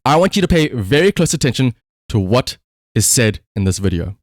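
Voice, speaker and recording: A man's voice: medium pitch, very animated, a little fast, no echo, studio quality. A man livestreaming casual commentary, telling a story conversationally with rhetorical asides.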